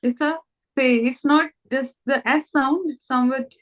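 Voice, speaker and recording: A high-voiced Indian woman teaches a language over a slightly muffled video call. She speaks in Indian English and patiently repeats each word slowly so her student can catch it. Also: steady tone. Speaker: language tutor. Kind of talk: online language lesson.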